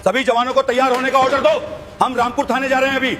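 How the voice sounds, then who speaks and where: A man playing a commanding, protective army officer, authoritative and indignant, in a scripted animated moral story. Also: extremely high pitch, quick pace. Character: commanding male army officer, stern protector of his men.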